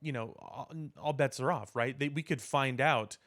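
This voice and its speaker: A man co-hosting a podcast, talking casually about pop culture in wordy, measured phrasing. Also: mid-range voice, fairly bright tone. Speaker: podcast co-host.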